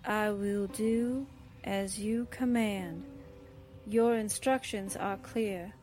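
A woman's voice: mid-range voice, fairly even intonation, darkish tone, soft background music, natural voice. An actress playing a hypnotized young newspaperwoman in a 1940s-style radio drama, speaking blankly and obediently as if in a trance. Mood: entranced, obedient. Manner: very slow, blank, trance-like, echoing commands.

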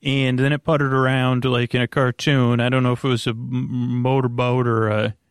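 A man with a creaky voice, hosting a bedtime podcast in a halting, rambling monologue that keeps backtracking. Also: medium pitch, level tone, dry recording, studio quality. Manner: halting, meandering, repeats and backtracks. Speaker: podcast host.

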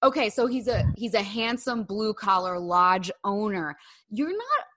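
Excitable, slangy American woman podcaster. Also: mid-range voice, unhurried. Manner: excitable, self-mocking, slangy. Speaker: podcast co-host. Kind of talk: casual pop-culture chat.

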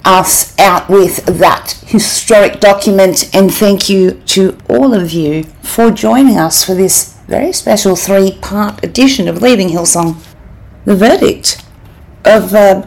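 Unhurried woman hosting a podcast. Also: medium pitch, a little mellow, poor audio. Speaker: podcast host. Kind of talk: casual podcast sign-off and commentary.

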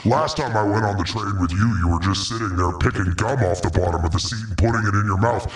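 A man's voice: on the low side, fast, reverberant, far from the mic, music in the background, voice pushed down, lightly acted. A man doing a grumbling, crude Satan. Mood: griping. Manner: gruff, crude and griping.

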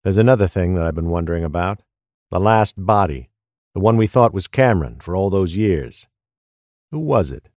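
A man playing a grim, weary older police detective in an audio drama, measured and stern. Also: sits low, expressive, a little mellow, no echo, clean studio sound. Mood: stern questioning.

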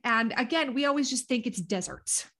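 A woman's voice: on the high side, expressive, moderate pace, a bit bright. Enthusiastic woman host telling a story. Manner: enthusiastic, gushing, frequent affirming murmurs. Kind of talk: casual conversational storytelling.

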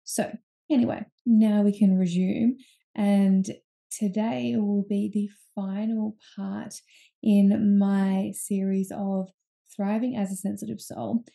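This is a young woman hosting a solo podcast. Her voice is very dark and mellow, with a gravelly edge of fry, and she talks in a loose, confiding way, full of ums and restarts. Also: mid-range voice, flat delivery, slow. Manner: chatty and candid, many ums and restarts. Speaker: podcast host. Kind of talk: casual solo podcast talk.

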